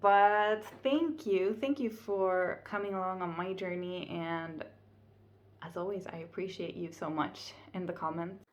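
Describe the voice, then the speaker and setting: A woman's voice: fairly low pitch, a little slow, a little hiss or hum. Chatty Finnish woman vlogging from her kitchen. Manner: chatty, laughs often, thinks aloud with fillers. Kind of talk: casual vlog talk to camera. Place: home kitchen.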